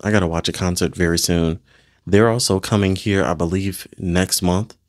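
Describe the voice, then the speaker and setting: A man giving casual, gushing reaction commentary in slangy, excited language as he hosts a video. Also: deep voice, normal speed, no echo, clean studio sound.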